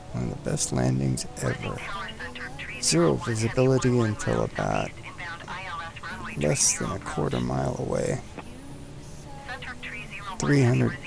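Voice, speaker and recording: Gravelly, slow-talking flight sim host. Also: male voice, mid-range voice, low-quality recording. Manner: chatty, joking, talks to the aircraft. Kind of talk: casual chat while flying a simulator.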